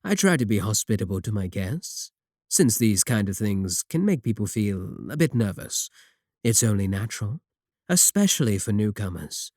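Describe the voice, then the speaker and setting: A man playing a courteous, manipulative warlock shopkeeper in a roleplay audio, coaxing and flattering his guest with polite, unhurried talk. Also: fairly low pitch, dry recording, clean studio sound. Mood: courteous, welcoming. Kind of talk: second-person roleplay audio acting.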